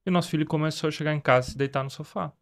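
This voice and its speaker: A man's voice: fairly high pitch, steady tone, very fast, a bit bright. A man in his thirties telling a personal parenting story in a casual podcast chat, earnest and reflective. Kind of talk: casual conversation.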